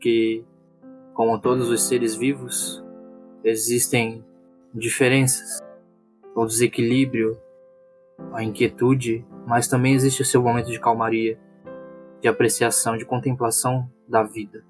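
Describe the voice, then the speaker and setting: Mid-pitched male roleplay player. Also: flat delivery, a little slow, long pauses, a little mellow. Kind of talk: narrating his character in tabletop roleplay. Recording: music playing behind.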